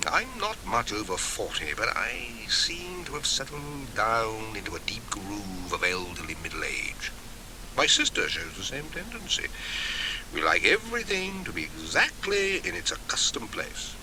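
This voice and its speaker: A man voicing a fussy, sedate middle-aged bachelor in an audiobook, prim, plaintive and precise, set in his ways. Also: mid-range voice. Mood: aggrieved, fussy. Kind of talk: acted audiobook dialogue. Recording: hissy old cassette tape.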